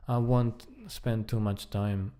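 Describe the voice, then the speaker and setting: A man giving casual live-coding commentary, thinking aloud in a halting, muttering way as he works. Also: on the low side, little pitch movement, warm tone, smooth. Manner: halting, muttering to himself while working. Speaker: programmer live-streaming a coding project.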